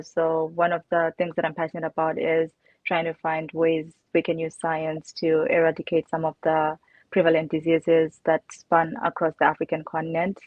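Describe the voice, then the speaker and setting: A woman's voice: sits low, no room sound. A woman in her twenties with a Zimbabwean accent, chatting casually as a student guest on a video call. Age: 20s. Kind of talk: casual interview on a livestream. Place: indoor room, video call with earbuds. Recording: muffled video call, dry.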